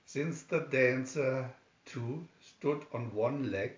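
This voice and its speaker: A man reads a children's fairy tale in a halting, deliberate way. His pace is very slow and his pitch sits in the middle for a man. The room is echoey around his voice. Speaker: storybook reader. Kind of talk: scripted fairy tale narration. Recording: echoey room, dull lo-fi sound.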